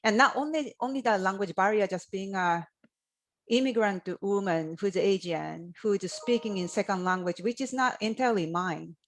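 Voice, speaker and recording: A woman's voice: medium pitch, normal speed. Woman on a zoom panel, earnest and thoughtful, talks for a long while. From Japan. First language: Japanese. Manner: earnest, reflective, speaks at length. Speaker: college faculty panelist. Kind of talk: panel discussion. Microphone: video call.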